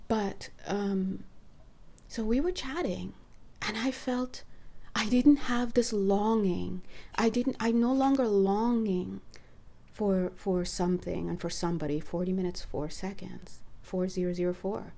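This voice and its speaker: Hoarse woman giving a spiritual talk. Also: mid-range voice, unhurried, dark tone. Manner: earnest, rambling, asks listeners direct questions. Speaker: spiritual reader. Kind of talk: casual spiritual talk to camera.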